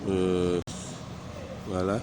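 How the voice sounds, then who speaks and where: Man with a cold, deep voice, unhurried tutorial instructor. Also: monotone, noisy background. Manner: slow, hesitant, talks through clicks step by step. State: has a cold. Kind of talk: screen-recorded software tutorial.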